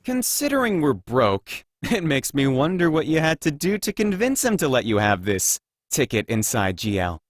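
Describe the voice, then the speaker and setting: A man's voice: medium pitch, big pitch swings, moderate pace, no room sound. An actor playing a guarded, sceptical male android in a visual novel, with a dry manner.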